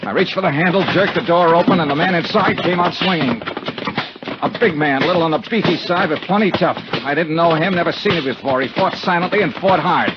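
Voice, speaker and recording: An actor playing a tough, wry investigator hero with a clipped, hard-boiled manner in a 1950s radio drama. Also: male voice, a little high, brisk, natural voice. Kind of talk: 1950s radio drama acting and first-person narration. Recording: muffled, noisy 1950s radio recording.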